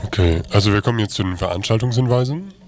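Loud music plays under a man with a fairly low voice. He is a radio presenter reading listings casually and somewhat haltingly, in an informal style. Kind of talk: informal reading of news, weather and event listings. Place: radio studio.

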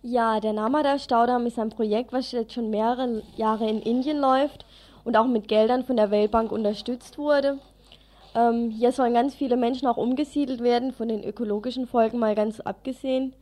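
A woman presents the news on a community radio station. Her voice sits a little high, and she moves between read-out reports and her own live presentation. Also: steady tone, normal speed, dark tone.

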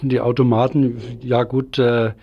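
A man giving an unscripted radio interview as a guest activist, explaining things in a halting way. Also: mid-range voice, little pitch movement, slightly rough, highs cut off.

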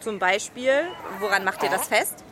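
A woman's voice: mid-range voice, normal speed, background noise. Woman interviewer out at a market. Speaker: street interviewer. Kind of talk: vox pop interview questions. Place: busy outdoor market.

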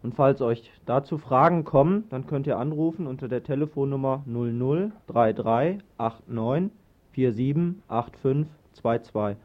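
A man's voice: mid-range voice, measured pace. A man hosting a radio programme, giving station announcements and links from the studio. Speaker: radio host. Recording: dull lo-fi 1980s radio recording.

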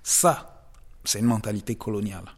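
A man from the DR Congo, a guest artist giving measured, reflective interview answers with frequent pauses and emphatic rises. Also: fairly low pitch. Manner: measured and thoughtful, pausing often, rising to emphatic stresses.

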